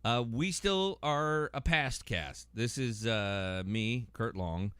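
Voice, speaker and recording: A man's voice: medium pitch, animated, slow pace, a bit bright, clean tone, no room sound. An American man in his forties chatting casually and jokily as a podcast host, often rambling and interrupting himself. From Massachusetts, United States. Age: 40s. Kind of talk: casual, joking podcast chat.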